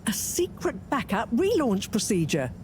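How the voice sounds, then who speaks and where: Brisk, high-voiced commanding woman. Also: wildly swooping pitch, a little mellow, a little hiss or hum. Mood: firm. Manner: authoritative, clipped, businesslike. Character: brisk, commanding female rescue team leader. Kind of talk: scripted video game dialogue.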